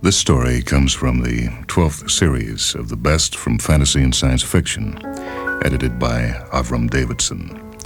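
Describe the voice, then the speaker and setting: A man reading a literary short story as a radio host, in a measured, deliberate and formal manner, on a 1970s radio recording. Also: a bass voice, gravelly. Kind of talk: scripted literary narration. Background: music bed behind the reading.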